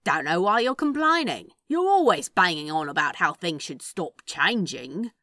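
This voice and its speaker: A teasing male demon from fan fiction as a woman acts him out, lowish and very animated. The delivery is sly, sardonic and casually drawled, recorded close and dry. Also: normal speed, no room sound. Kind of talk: fan fiction dialogue reading.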